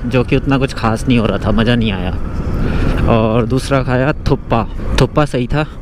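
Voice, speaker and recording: A man's voice: medium pitch, moderate pace. A man in his thirties from India, casually narrating a motorcycle trip on mountain roads as a travel vlogger. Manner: relaxed running commentary while riding. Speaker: motovlogger. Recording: wind and engine noise outdoors. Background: road and vehicle noise.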